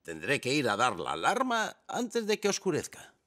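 A man voicing a timid, well-meaning village bus driver in an animated children's series, hesitant and bumbling. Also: mid-range voice, moderate pace, noisy background. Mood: resigned.

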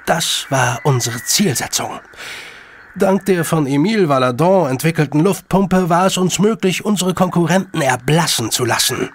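A man acting a shrewd, condescending gentleman in an audio drama, with formal, measured phrasing and a creaky voice. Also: a little high, animated. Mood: grave, explaining. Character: shrewd, superior gentleman, elder brother of a detective.

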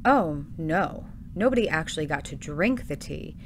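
A woman's voice: sits low, lively intonation, moderate pace, creaky, noisy background. A woman playing an anxious, earnest hotel employee in an audio drama, worried and hesitant.

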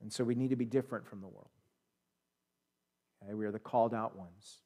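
A man in his thirties with an American accent, preaching a sermon in a church sanctuary on a headset mic, swinging from loud emphatic peaks to quiet asides. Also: on the low side, a little fast. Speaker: pastor. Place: church sanctuary, standing at a pulpit.

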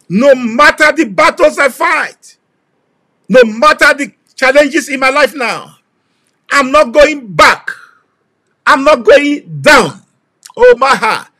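A man preaching with a very high, bright voice, pouring out repeated declarations. He leads the prayer with fervent intensity and slips into speaking in tongues between phrases. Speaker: pastor.

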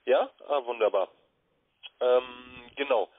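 Man, telesales caller, mid-pitched, dull phone-line sound. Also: slow pace, slightly rough. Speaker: trading sales caller. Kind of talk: sales phone call. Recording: roomy, muffled lo-fi phone line.

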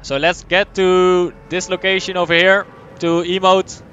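A young man from the Netherlands with a Dutch accent, chatting casually as he streams a game, answering chat and thinking out loud. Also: sits high, level tone, measured pace, highs cut off, slight background noise. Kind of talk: live gameplay commentary, reading chat.

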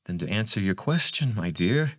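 A man playing a deranged captor in an audio horror drama, coaxing with mock gentleness and playful menace. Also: on the low side, expressive, normal speed, background noise, lightly acted. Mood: falsely sweet menace.

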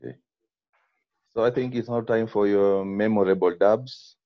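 A man's voice: lowish voice, steady tone, moderate pace, dull audio. A male interview host prompting his guest over a video call.

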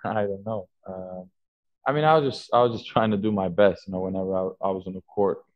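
A young man, a basketball player, answering postgame press questions haltingly, full of fillers and restarts, at a table mic in a team media room. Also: sits low, fairly even intonation, dry recording, muffled recording. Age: young adult. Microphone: table mic on stand.

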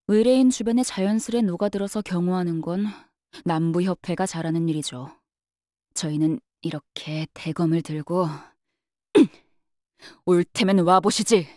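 Dry-witted young knight-bodyguard, played by a woman, mellow. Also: medium pitch, no echo, natural voice. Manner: polite but curt, matter-of-fact, faintly sardonic. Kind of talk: scripted game character voice lines.

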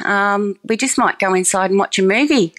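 A woman's voice: medium pitch, moderate pace, fairly bright tone. A woman speaking casually in an interview, chatty, with many ums and restarts. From Australia. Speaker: farm program coordinator.

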